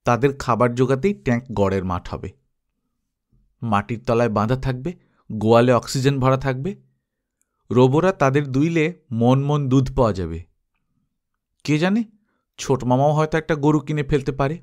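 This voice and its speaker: A man's voice: mid-range voice, normal speed, long pauses, darkish tone, lightly acted. Man voicing an eager, chatty boy dreamer. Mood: eager, excited.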